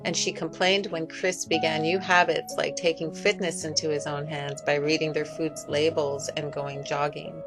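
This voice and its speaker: A woman's voice: low voice, rough recording. A woman reading a scripted critical commentary as a video essay narrator. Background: music.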